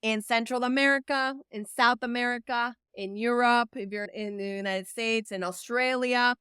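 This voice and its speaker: A woman's voice: a little high, fairly even intonation, normal speed, pushed and bright, smooth, no room sound, clean studio sound. A woman from El Salvador whose first language is Spanish, an event producer giving an earnest, encouraging talk to camera and stretching words for emphasis. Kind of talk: direct-to-camera motivational talk.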